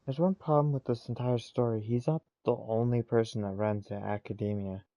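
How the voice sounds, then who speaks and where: A man's voice: medium pitch, fairly even intonation, fairly quick, warm tone, dry recording. Casual male game streamer, mid-range voice, dry and slightly muffled recording. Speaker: gameplay streamer.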